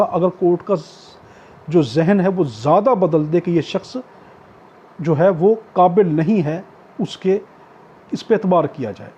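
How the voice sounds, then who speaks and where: A man's voice: high-pitched, mellow, husky. A man with a Pakistani accent giving an explanatory law lecture, didactic, repeating and rephrasing his points. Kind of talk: explanatory law lecture, reading out provisions then paraphrasing. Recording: dull, muffled lo-fi recording.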